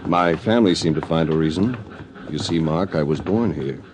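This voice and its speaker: Deep-voiced hard-boiled investigator. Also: male voice. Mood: cool, businesslike. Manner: hard-boiled, measured, wry. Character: cultured, cool-headed male adventurer-investigator narrating his case. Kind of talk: 1940s radio detective narration. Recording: noisy old radio recording with clicks.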